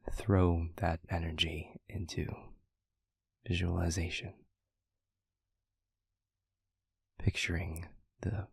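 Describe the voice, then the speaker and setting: A man acts out a gentle, reflective demon in an intimate audio roleplay. The voice is very breathy and husky, sitting low and staying even, with soft, measured phrases that hesitate as he thinks. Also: dry recording, full character voice. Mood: calm, reflective. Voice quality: breathy, husky, half-whispered.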